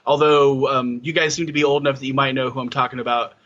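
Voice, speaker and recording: A man's voice: mid-range voice, flat delivery, fast talker, pushed and bright, muffled recording. A man in his thirties chatting casually on a podcast, hesitant, with many fillers and restarts. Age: 30s. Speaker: podcast co-host. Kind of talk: casual chat.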